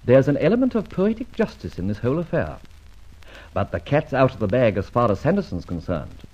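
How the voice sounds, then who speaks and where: A man playing a suave, wry and commanding gentleman adventurer in a vintage radio adventure serial. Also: mid-range voice, very animated, a little fast. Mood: brisk reasoning. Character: suave, self-assured gentleman adventurer and amateur sleuth. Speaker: narrator and lead actor. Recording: dull old radio recording, music behind.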